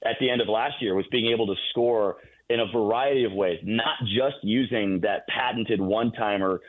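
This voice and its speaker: Low-voiced man on a tinny phone line. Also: moderate pace. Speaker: radio play-by-play announcer. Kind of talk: sports radio guest interview, analysis.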